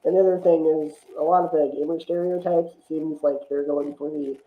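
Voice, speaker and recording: A man's voice: on the high side, steady tone, a little fast. A man in a casual film chat, opinionated and rambling as he talks. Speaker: film discussion podcast guest. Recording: roomy echo, thin phone-like line.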